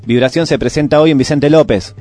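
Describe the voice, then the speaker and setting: A man's voice: fairly high pitch, brisk, mellow. A man with a Rioplatense Argentine accent presenting a radio show, reading scripted music news and listings, sometimes stumbling over words. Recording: music playing behind the voice. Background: music bed.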